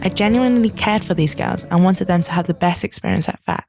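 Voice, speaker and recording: A woman's voice: lowish voice, quick pace, music in the background. A young woman, a youth camp leader, narrating a reflective voice-over about camp in an earnest way. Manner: earnest, reflective, laughs lightly.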